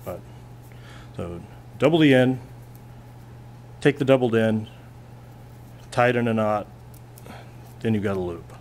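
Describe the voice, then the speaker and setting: Male teacher, low voice, relaxed step-by-step demo, faint room hum. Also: unhurried, long pauses. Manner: relaxed, plainspoken, thinking aloud with restarts. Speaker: physics teacher. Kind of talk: hands-on classroom demonstration. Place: classroom lab bench, indoors.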